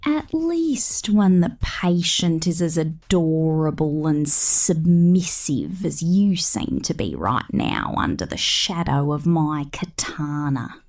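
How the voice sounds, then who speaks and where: A woman speaks slowly in a soft, low-leaning voice that often dips into breathy whispers, with wide swoops in pitch. She plays a possessive space mechanic in an ASMR roleplay, teasing and condescendingly motherly toward her robots. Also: lively intonation. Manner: slow, breathy delivery slipping into whispers.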